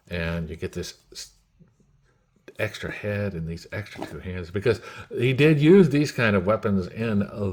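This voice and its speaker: Slow-paced man reviewing a toy. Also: on the low side, darkish tone. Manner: unhurried, repetitive, pausing between short phrases. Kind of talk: casual unboxing and product review commentary.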